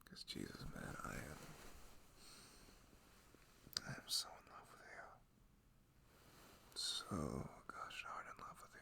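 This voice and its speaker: Give this one a very low male voice with a gravelly fry , a man acting the part. He is a devoted but nervous young husband in an intimate ASMR roleplay. He rambles softly, like someone talking privately to his partner. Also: full character voice. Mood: tender, loving. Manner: rambling, soft-spoken, chuckling, often drifting toward a murmur.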